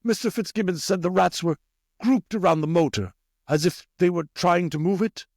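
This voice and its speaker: A man voicing an earnest, commanding older rat leader for an audiobook, with a measured, questioning delivery. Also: high-pitched, no echo, studio quality. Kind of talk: audiobook character voice.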